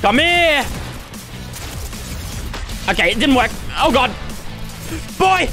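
High, bright, frantic young gamer man. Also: lively intonation, slow, soft background music. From Australia. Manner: loud, dramatic, squealing yelps and groans. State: starting to lose his voice. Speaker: gaming YouTuber. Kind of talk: excited gameplay commentary.

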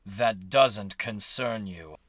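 A man's voice: on the low side, takes it slowly, a bit bright. A man playing an aloof, sardonic cartoon hedgehog rival, curt and dismissive, in a fan audio drama.

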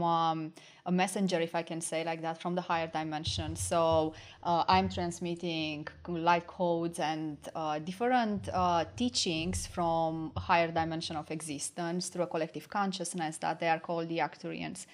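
A woman, a guest answering calmly with many pauses on a studio mic. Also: fairly low pitch. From Romania. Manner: calm, measured, many hesitations. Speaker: guest, spiritual mentor. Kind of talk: interview answers. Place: podcast studio with wooden wall and plants. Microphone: studio mic on boom arm.

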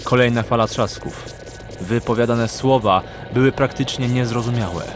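Male fantasy audiobook narrator over music. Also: medium pitch. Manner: measured, suspenseful storytelling. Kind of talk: dramatic scripted fantasy narration.

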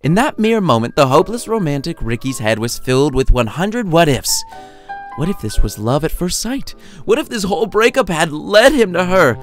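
A man's voice: a little high, big pitch swings, normal speed, faint music behind. A man reading his own story as a wry, theatrical narrator. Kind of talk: lively scripted storytelling narration.

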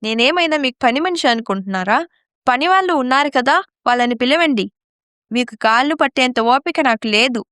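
A woman playing an arrogant, scheming young wife, high voice. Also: expressive, a little fast, no room sound, studio quality. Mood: irritated, haughty. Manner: sharp-tongued, complaining. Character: arrogant, scheming young daughter-in-law, greedy for property. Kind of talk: moral story audio drama acting.

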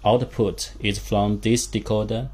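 A man giving a hands-on instructional demonstration as a product demonstrator, speaking haltingly in short phrases in a small room. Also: on the low side. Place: small indoor room with a work table. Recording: steady background room noise.

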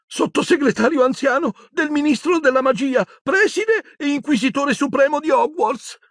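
A man reading aloud and voicing a shrill, frightened, officious middle-aged witch who talks in a prim, imperious way. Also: animated, moderate pace, fairly bright tone, no echo, studio quality, full character voice. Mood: haughty. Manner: prim, imperious, rising to screeches.

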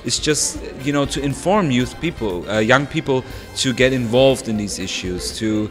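A young man gives an interview answer in a hesitant way, pausing to search for words. Faint music sits behind him. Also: mid-range voice. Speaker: conference participant.

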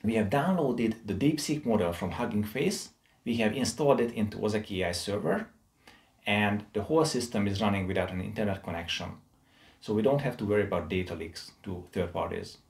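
A middle-aged man presenting a software tutorial in a deliberate, explanatory way. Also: fairly low pitch, creaky. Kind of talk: scripted software tutorial narration.

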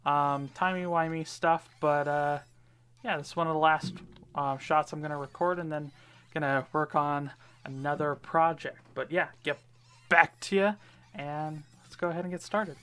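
A man's voice: a little high, fairly even intonation, normal speed, fairly bright tone, clear, resonant tone. A man giving casual, rambling commentary over his gameplay, with lots of fillers. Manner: rambling, many fillers, upbeat. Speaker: gaming video creator.

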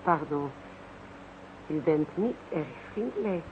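A woman's voice: sits low, animated, slow. A woman playing a polite, coquettish young French lady with a French accent in a radio play. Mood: politely puzzled. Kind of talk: radio drama acting. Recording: dull, noisy old radio recording.

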